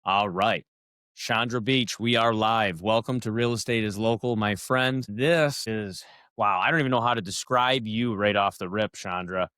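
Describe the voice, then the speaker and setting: A man's voice: lowish voice, normal speed, pushed and bright, clear, resonant tone, dry recording, clean studio sound. A man hosting a podcast, enthusiastic and hype-style, often tagging his sentences with "right?" and "like". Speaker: podcast host, loan officer. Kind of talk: casual podcast interview.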